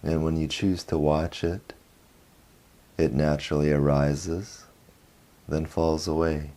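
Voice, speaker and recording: A man leading a guided meditation, speaking softly in short phrases with long pauses between them. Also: extremely low pitch, little pitch movement. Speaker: meditation teacher.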